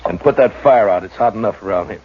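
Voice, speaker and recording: A steady frontier marshal with a very deep voice, calm and measured as he narrates, as a man plays him. The sound is a muffled old radio recording with loud hiss. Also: natural voice. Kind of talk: 1950s radio drama acting and narration.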